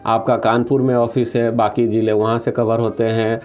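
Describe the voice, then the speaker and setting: A man's voice: medium pitch, little pitch movement, moderate pace, darkish tone. A man answers as an official in an interview, explaining point by point with some English terms mixed in. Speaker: government official, interview guest.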